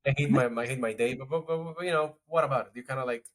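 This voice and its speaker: A man's voice: medium pitch, very fast, a bit bright. A man speaking as a podcast guest in a casual interview, reflective, with frequent restarts and filler words. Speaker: podcast guest, strategy executive.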